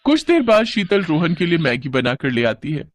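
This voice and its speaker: A man's voice: a little high, sing-song, normal speed. A man narrating a scripted children's moral story.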